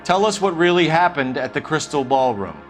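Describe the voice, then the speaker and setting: A young American police detective in a period crime game. His questions are clipped and probing, with a lively, moving pitch. Faint music plays behind him. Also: fairly quick. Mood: skeptical. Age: young adult. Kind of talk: naturalistic video game drama acting.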